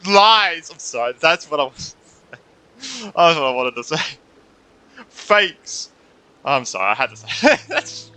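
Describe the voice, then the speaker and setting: A man's voice: fairly high pitch, sing-song, takes it slowly, pauses often, sharp tone. A man doing casual gameplay reaction commentary as a streamer, blunt and joking, with swearing.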